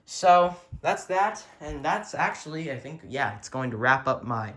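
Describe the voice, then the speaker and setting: A man's voice: a little high, animated, measured pace, a bit bright. A man giving a casual show-and-tell as a hobbyist host, rambling and correcting himself, recorded on a handheld camera in a room at home. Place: small furnished room at home, indoors. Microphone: handheld camera or phone, behind the lens.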